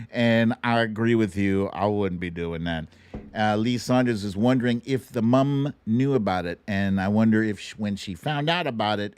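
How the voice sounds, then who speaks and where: A man hosting a livestream, giving casual, slangy and animated commentary on clips, with some swearing. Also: lowish voice, smooth. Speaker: livestream show host.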